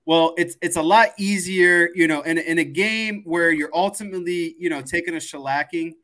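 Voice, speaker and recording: A man's voice: a little high, normal speed, a bit bright. A man giving casual sports commentary as a panelist, explaining his points conversationally and repeating words for emphasis.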